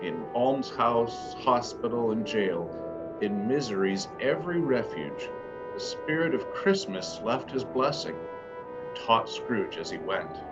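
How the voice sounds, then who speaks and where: A man playing a solemn storybook narrator, reading a scripted ghost story in a measured way. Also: medium pitch, lively intonation, highs cut off. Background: music.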